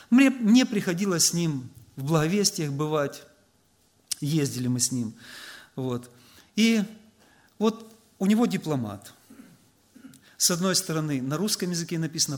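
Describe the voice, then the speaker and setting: A man's voice: fairly high pitch, lively intonation, moderate pace. A man preaching a sermon to his congregation, telling stories in a loose conversational way full of filler words. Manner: conversational, anecdotal, frequent filler "vot".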